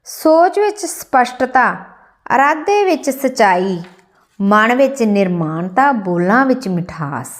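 A woman reads a scripted inspirational piece as an audiobook narrator, in measured, declamatory short phrases. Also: mid-range voice.

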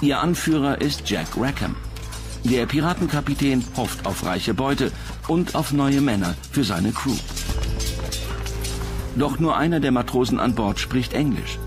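A man reading scripted documentary narration as the narrator. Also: medium pitch.

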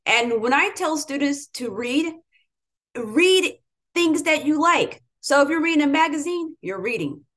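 American lady teacher giving students a pep talk, voice a bit high, stresses her key words. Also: lively intonation, unhurried, fairly bright tone. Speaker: educator, interviewer. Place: home room with bookshelves, indoors.

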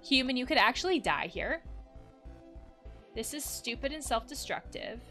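Anxiety wolf for a video game, read by a woman: protective, fretful, pleading, bright tone. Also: high voice, animated, moderate pace, soft background music. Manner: pleading, worried.

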